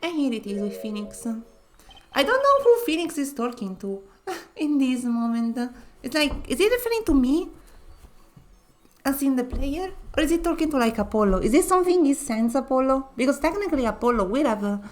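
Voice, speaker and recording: A woman's voice: sits high, very animated, moderate pace. A woman with a nasal voice chatting casually as she streams a game, often laughing between phrases. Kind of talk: casual let's-play commentary.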